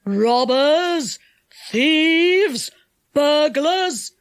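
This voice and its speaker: A woman voicing a bullying male enchanter in a storybook, loud and commanding, drawing out his words. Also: lively intonation, slow, smooth. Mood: furious. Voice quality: loud, booming.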